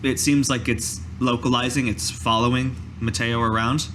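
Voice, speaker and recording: A man voicing a grave, formal master mage in a tabletop fantasy game, speaking deliberately. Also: mid-range voice, steady tone, fairly bright tone, a little hiss or hum, lightly acted. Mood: thoughtful. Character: male village master mage, formal, measured, grave mentor.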